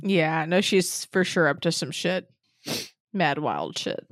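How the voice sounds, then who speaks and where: A woman's voice: deep voice, animated, slow, dry recording, clean studio sound. A young woman co-hosting a casual podcast, talking loosely with laughs and swearing, her voice still a little off from a cold. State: recovering from a cold, voice a bit off. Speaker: podcast co-host. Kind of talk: casual chat.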